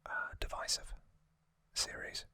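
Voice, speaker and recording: ASMR narrator, sex open: sustained whisper, very unhurried, halting short phrases, explanatory recap delivery. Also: sharp tone, no echo. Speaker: host of an ASMR channel.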